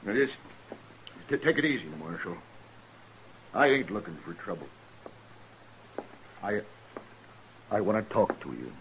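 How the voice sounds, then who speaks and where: An American actor playing a broken, fearful gunfighter in a 1950s radio western, with a halting, pleading frontier drawl. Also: male voice, fairly low pitch, very animated. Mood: shaken. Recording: old radio recording with faint noise.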